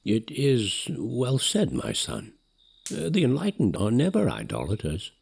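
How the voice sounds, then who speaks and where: An actor in an audio drama playing a devout old Magus, a father, speaking in a halting, reverent way with a creaky voice. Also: mid-range voice, wildly swooping pitch, full character voice. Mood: approving.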